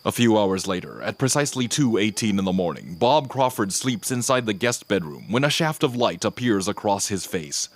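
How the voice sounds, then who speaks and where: A man's voice: on the low side, sing-song, moderate pace, hardly any pauses, no room sound. A man giving scripted, present-tense dramatic narration for an audio mystery.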